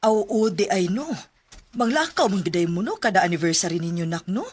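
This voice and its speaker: An actress playing an easygoing, gently inquisitive middle-aged mother in a radio drama, with a Cebuano accent. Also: mid-range voice, lively intonation, brisk, husky. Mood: curious, motherly.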